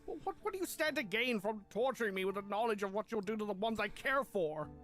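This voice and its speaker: A man's voice: sits high, lively intonation, fast, fairly bright tone, faint music behind. A man voicing a proud, lovelorn chimera beast held prisoner in a cartoon, speaking in a wounded, earnest, dramatic way. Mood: desperate. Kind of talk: animated fan drama acting.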